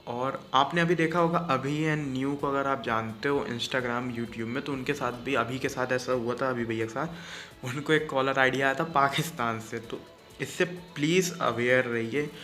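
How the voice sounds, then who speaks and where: A man's voice: mid-range voice, moderate pace, pushed and bright, faint music behind. A man with an Indian accent talking casually to viewers as a vlogger, explaining things directly and mixing in English words.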